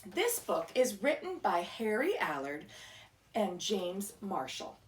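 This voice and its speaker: A woman's voice: medium pitch, very animated, takes it slowly. A woman reading a children's picture book aloud like a teacher, explaining simply and putting questions to a young listener. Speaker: read-aloud storyteller, teacher. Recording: echoey room, distant microphone.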